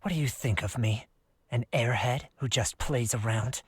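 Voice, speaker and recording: A man's voice: medium pitch, normal speed, slightly rough, no room sound. An actor playing a laid-back, confident young male artist with a cool manner in a visual novel game. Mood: defensive, cool.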